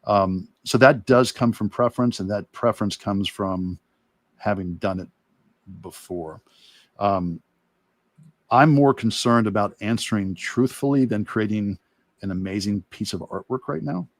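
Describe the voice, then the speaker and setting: Male illustrator-instructor, lowish pitch, reflective and halting, casual demo delivery, very dry recording. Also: dry recording. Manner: reflective, halting, long rambling answers. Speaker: illustrator and course instructor demonstrating. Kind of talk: casual demo talk while drawing.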